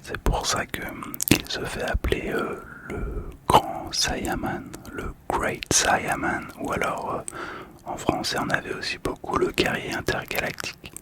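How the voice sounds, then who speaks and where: A man whispers throughout in a breathy, unvoiced voice. He speaks softly and calmly, in the style of ASMR storytelling, with small hesitations as he goes. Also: unhurried. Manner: soft, calm, hesitant. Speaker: host of a relaxation video.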